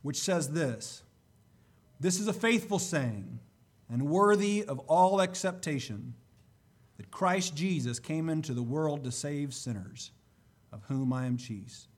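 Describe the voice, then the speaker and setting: A man preaching a sermon and reading scripture, slightly hoarse, with a deliberate, emphatic delivery. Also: a little high, animated.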